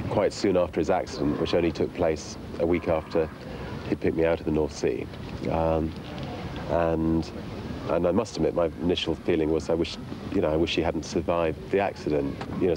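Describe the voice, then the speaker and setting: A man's voice: very deep voice, flat delivery, normal speed, background noise. A man in an unscripted interview, talking hesitantly with stammered repetitions and frequent filler phrases. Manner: hesitant, stammering repetitions, many "you know"s. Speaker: interviewee, friend of the documentary's subject.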